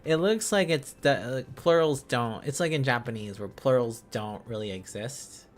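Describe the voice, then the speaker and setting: A man chatting casually as a livestream host, musing aloud in halting, repeated phrases. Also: medium pitch, faint music behind. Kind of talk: casual livestream chat while learning a language.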